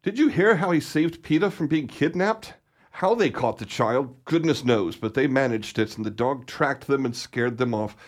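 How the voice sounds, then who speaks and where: A man playing a gruffly protective father and boss in a radio play, speaking emphatically. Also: medium pitch, normal speed, lightly acted. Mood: proud, indignant.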